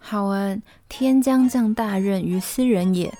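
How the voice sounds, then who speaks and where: Lady doing nerdy analytical young housemate girl, deadpan and mellow, radio drama. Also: mid-range voice, a little slow, close to an everyday voice. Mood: solemn.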